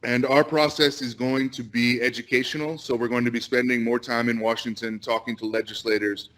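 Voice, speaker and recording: An American man giving earnest, unscripted remarks as a panel guest, often pausing mid-sentence. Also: medium pitch, level tone, a bit bright. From United States.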